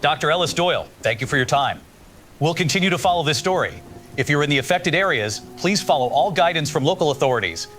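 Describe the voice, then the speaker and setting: A man's voice: a little high, animated, fast talker, a bit bright, soft background music. A man playing a concerned radio news host in an audio drama, courteous and earnest as he questions people. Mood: sober. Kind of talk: live call-in news show in an audio drama.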